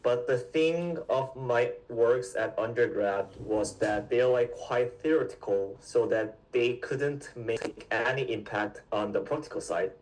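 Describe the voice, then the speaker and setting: A man in his twenties from South Korea, a guest answering interview questions in a halting way, with many fillers and restarts. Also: medium pitch, recorded from a distance, low-quality recording. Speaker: guest, PhD student.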